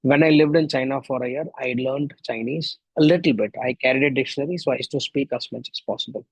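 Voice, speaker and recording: A man's voice: mid-range voice, fast, vocal fry, breathy edge, dry recording, rough recording. A middle-aged man from India with an Indian accent and Tamil as his first language, giving a reflective solo podcast monologue full of rhetorical questions. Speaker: podcast host.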